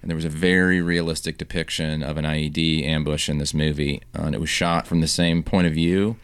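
A man in his thirties from Southern California, a guest author speaking haltingly in a radio studio interview, with many restarts and fillers. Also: very deep voice, little pitch movement, clean tone, dry recording.

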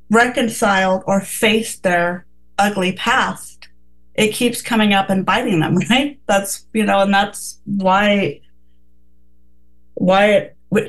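A woman's voice: mid-range voice, fairly bright tone, poor audio. A woman co-hosting a casual radio talk, speaking earnestly and reflectively with many restarts.

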